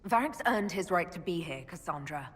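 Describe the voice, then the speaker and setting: A woman voicing a calm, diplomatic leader in a video game, composed and reasonable. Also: on the low side, normal speed, breathy edge. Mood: firm. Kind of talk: video game dialogue acting. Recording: long reverb, faint background noise.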